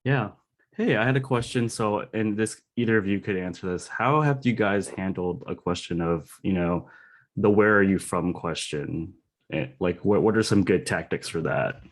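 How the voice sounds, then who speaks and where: Casting: male workshop attendee, deep voice, casual and conversational as a questioner. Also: moderate pace. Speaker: workshop participant asking a question. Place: virtual workshop video call.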